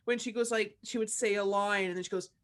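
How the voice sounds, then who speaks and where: Female cast member, medium pitch, informal roundtable conversation. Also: fairly even intonation, fast, a crowd in the background. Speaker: audio drama cast member. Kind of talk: casual cast discussion.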